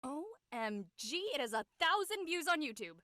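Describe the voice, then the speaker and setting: A woman's voice: sits high, big pitch swings, moderate pace, pushed and bright, dry recording, full character voice. A woman playing a brash, gossipy teenage girl in an audio drama, teasing and slangy. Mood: playful. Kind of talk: audio drama acting, casual dialogue.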